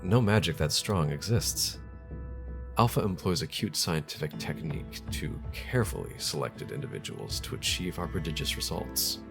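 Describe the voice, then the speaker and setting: Deep, pompous corporate scientist. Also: male voice, expressive, moderate pace, vocal fry, over music, full character voice. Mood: dismissive, proud. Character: dismissive, self-assured male corporate scientist addressing the public. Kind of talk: audio drama speech.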